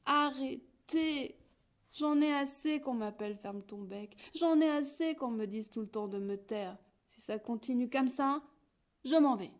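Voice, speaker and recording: A woman's voice: high-pitched, big pitch swings, a little slow, dark tone, voice pushed up. A woman reading aloud, voicing a small talkative chick who keeps asking questions. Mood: upset. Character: curious chatterbox little chick, small and cheeky.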